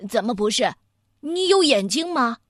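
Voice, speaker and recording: A woman's voice: fairly high pitch, sing-song, normal speed, no room sound, clean studio sound. A woman voicing a pompous, hot-tempered male mole scientist who declaims with self-important indignation. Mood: indignant.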